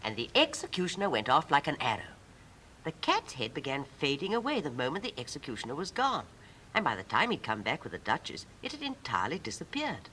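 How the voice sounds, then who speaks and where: A woman's voice: wildly swooping pitch, fairly quick, pushed and bright, heavily acted, poor audio. A woman actor narrating a storybook in a poised 1950s style. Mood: measured. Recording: old 1950s record with rumbling noise.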